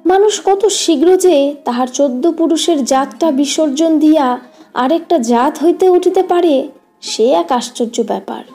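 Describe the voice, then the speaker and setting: A woman's voice: high-pitched, mellow. A woman reading a literary story as an audiobook narrator, in a scripted storytelling style. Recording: guitar music behind the voice. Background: plucked guitar music.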